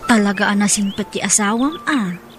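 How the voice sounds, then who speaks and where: An actress playing a brazen, falsely sweet mistress in an Ilocano radio drama, with an Ilocano accent. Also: female voice, medium pitch, moderate pace, faint music behind, natural voice. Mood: coquettish.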